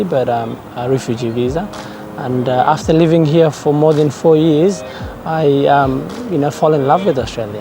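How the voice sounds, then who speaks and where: A man's voice: mid-range voice, noisy background. A man, giving a documentary interview outdoors in a park, hesitant with many restarts. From Afghanistan. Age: 20s. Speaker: interviewee, business student.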